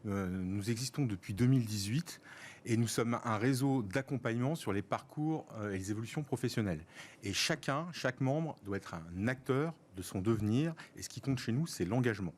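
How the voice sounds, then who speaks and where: Guest, man in his fifties, from France, measured and explanatory, mid-pitched voice. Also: animated. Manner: measured, explanatory, cites figures. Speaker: guest, network organizer. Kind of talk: radio interview answers.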